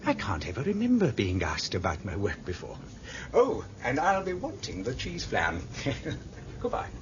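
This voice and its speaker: A man's voice: medium pitch, wildly swooping pitch, unhurried. A man playing a computer programmer in a radio drama, explaining things patiently and chattily. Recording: dull lo-fi audio with faint noise.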